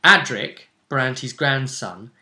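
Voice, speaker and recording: Male game host, bright forceful voice, casual conversational recap of a tabletop story. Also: mid-range voice, lively intonation, unhurried. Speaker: role-play session host.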